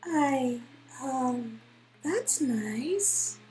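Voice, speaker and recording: A woman's voice: high voice, extremely slow, a little hiss or hum, heavily acted. A woman voicing an awkward, earnest young tech specialist in a dramatic reading of a story game. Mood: flustered. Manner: flustered, earnest, excitable.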